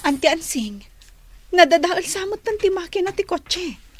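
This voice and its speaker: Radio drama niece: high voice, earnest, youthful, faint noise behind. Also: female voice, very animated, normal speed. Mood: earnest worried. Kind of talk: Ilocano radio drama acting.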